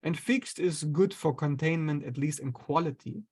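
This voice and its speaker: A man, an astrologer guest, explaining ideas in a relaxed conversational way, sometimes acting out inner voices. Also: fairly high pitch, moderate pace, slightly rough. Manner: explains with acted-out inner voices and light laughs.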